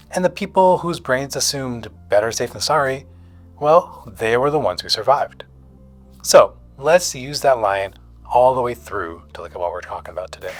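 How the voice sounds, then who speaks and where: A man narrating a scripted educational explainer as a psychiatrist host, stressing key words with dramatic pauses. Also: mid-range voice.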